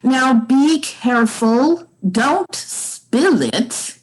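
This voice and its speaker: A woman's voice: sits high, expressive, full character voice, rough recording. A woman playing a snobbish, commanding old matriarch in a radio play, drawing out her words haughtily. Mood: imperious, irritated. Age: older. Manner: haughty, drawn-out, commanding delivery. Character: imperious, snobbish old grand dame matriarch. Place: remote home recording over video call. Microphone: video call.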